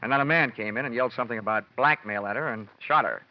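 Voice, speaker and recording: An American man playing a wry, deadpan hardboiled insurance investigator in a 1950s-style radio detective drama. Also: medium pitch, fast talker, close to an everyday voice. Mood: wry, defensive. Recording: muffled old radio broadcast.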